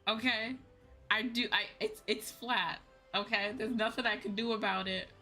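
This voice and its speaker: Bright-voiced woman chatting on a game stream. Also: medium pitch, steady tone, moderate pace. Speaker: livestreamer. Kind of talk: casual gaming stream chat. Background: music.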